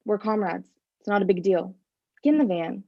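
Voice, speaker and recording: An actress playing a blunt, friendly activist in a staged play reading, casual and direct. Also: female voice, medium pitch, animated, normal speed, vocal fry. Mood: friendly, insistent. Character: blunt, friendly activist woman driving a van. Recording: slightly muffled lo-fi sound.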